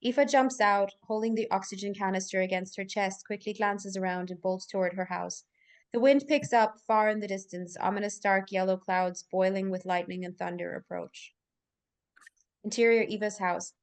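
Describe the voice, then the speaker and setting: A woman's voice: medium pitch, moderate pace, clear, resonant tone. A woman reading scripted stage directions as narrator at a live table read, in full descriptive sentences.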